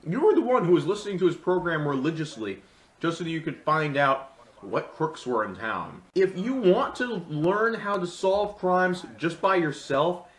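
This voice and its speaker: A man's voice: a little high, a bit bright. A man giving a comedic film review with sarcastic, theatrical delivery. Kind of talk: comedic scripted review commentary. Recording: echoey room.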